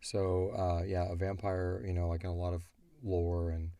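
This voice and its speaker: A man's voice: low-pitched, completely flat delivery, normal speed, warm tone, smooth, no echo. A man talking informally as a co-host, explaining things in a rambling, casual way. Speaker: co-host and show creator answering listener questions. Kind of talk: casual chat about an audio drama.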